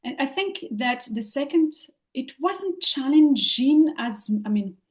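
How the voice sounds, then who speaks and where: A woman, speaking English as an as a podcast guest, talking in a thoughtful, halting way with many fillers and restarts. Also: high-pitched, animated. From France. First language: French. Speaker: podcast guest, leadership coach. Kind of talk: interview conversation.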